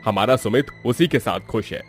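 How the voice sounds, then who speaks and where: A man's voice: mid-range voice, moderate pace, faint music behind, lightly acted. Man as a firm, kindly wealthy father. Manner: firm, courteous. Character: kindly, reasonable rich middle-aged father. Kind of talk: Hindi cartoon story acting.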